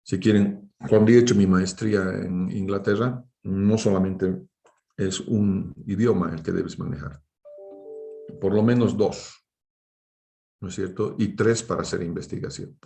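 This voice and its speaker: A man's voice: low-pitched, fairly even intonation, unhurried, vocal fry, warm tone, soft background music. A man lecturing informally to students in a didactic way, often checking in with short tag questions. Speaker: university engineering lecturer. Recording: roomy, echoing online class audio.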